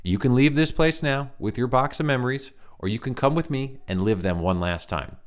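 A man voicing a wiry male stranger with a light, reedy voice who talks in a calm, cryptic, faintly menacing way. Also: medium pitch, normal speed, pitched above the performer's own voice, lightly acted. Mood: ominous.

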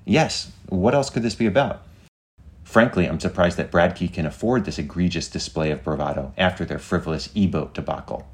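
A man's voice: deep voice, fairly quick. Man putting on a feisty, blunt very old woman. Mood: indignant. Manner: blunt, scolding, emphatic. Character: feisty, stubborn 126-year-old woman, indignant and blunt.

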